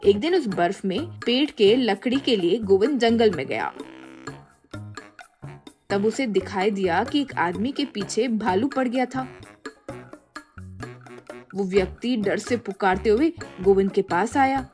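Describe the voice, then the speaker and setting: A woman's voice: medium pitch, lively intonation, unhurried, pauses often, a little mellow. A woman narrating a scripted moral story, with music behind her.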